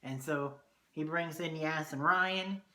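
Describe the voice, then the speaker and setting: A man reviewing a TV episode in a casual vlog, excitable and swearing often, his voice going hoarse. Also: fairly high pitch, slow pace. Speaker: TV review vlogger.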